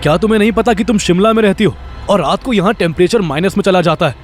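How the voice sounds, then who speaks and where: Stern, terse, higher-voiced man, acted by a man. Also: fast talker, soft background music. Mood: stern, insistent. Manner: terse, commanding. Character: stern, guarded, protective young man. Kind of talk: Hindi horror audio drama acting.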